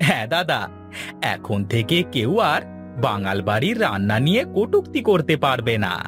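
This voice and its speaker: A man's voice: medium pitch, sing-song, normal speed. A man voicing an agreeable younger brother from a village household in a cartoon, speaking expressively. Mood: proud. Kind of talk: cartoon dialogue. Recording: loud background music.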